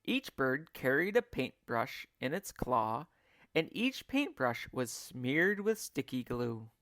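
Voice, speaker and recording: A man reading a children's story aloud as a teacher, in a measured, expressive narrating style. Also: medium pitch, no echo, clean studio sound.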